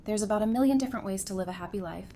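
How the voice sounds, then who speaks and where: A woman's voice: very fast, mellow, lightly acted. A woman playing a supportive, frank, loyal friend in an audio drama, with a reassuring and candid delivery. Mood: reflective, reassuring. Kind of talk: fan audio drama dialogue. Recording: background noise with low rumble.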